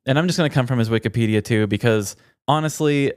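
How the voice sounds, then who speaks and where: A man's voice: mid-range voice, fast, smooth, dry recording, clean studio sound. A man with an American accent co-hosting a podcast, telling stories and chatting casually with a wry, joking manner. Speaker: podcast co-host. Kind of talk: casual chat and storytelling.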